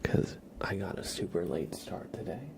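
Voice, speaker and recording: A man hosts an ASMR livestream in a hushed, often whispered voice. His tone sits low with a gravelly crackle, and he talks to chat in a casual, unhurried way. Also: big pitch swings. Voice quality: whispery, breathy soft voice. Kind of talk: ASMR, chatting softly with live chat.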